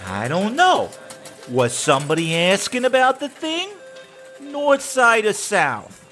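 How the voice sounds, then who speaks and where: A swaggering Chicago gangster, played by a man in a comedy audio drama, cocky and full of tough-guy slang. His slow, drawn-out delivery swoops wildly up and down, with faint music behind him. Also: sits high, big pitch swings, sharp tone, exaggerated voice. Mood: defensive. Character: brash cocky male Chicago mob gambler.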